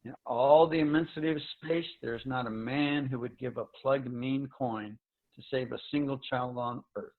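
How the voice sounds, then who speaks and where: A man playing a tired, somber space commander in a dramatic reading, with measured, solemn delivery. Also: mid-range voice, steady tone, close to an everyday voice. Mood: somber resignation. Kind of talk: dramatic virtual play reading. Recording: thin phone-like video call audio.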